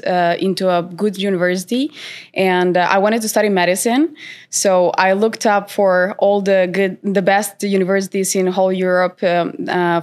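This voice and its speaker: Young woman from north macedonia chatting in an interview, medium pitch, lots of ums and pauses. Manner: unhurried, many fillers and pauses. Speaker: guest, content creator. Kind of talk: casual interview.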